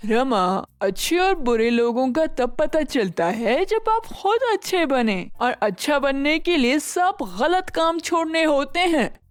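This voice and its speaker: Storybook fairy queen, acted by a woman: high-voiced, unhurried, gentle yet regal, admonishing kindly over faint music. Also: animated, no echo. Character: gentle, stately queen of the fairies. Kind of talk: children's fairy tale voice acting.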